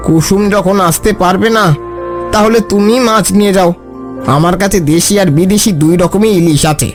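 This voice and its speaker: A man's voice: high voice, normal speed, lightly acted. A man playing a frank, chatty older village fish seller in a cartoon. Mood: businesslike. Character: loyal, suspicious older village fishmonger, talkative haggler. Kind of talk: cartoon voice acting. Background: background music.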